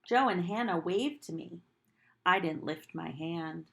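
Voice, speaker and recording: Slow-paced woman reading to young children. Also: fairly low pitch, vocal fry. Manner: deliberate, animated storytelling for small children. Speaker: nursery school teacher reading aloud. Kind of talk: expressive picture-book read-aloud for young children, with asides.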